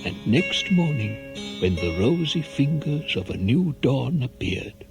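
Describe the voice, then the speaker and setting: A male narrator tells a tale in 1970s radio drama style over prominent music. His pace is slow and his mid-range voice moves widely with dramatic, deliberate phrasing. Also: mid-range voice, big pitch swings. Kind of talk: scripted storytelling narration for a 1970s radio play.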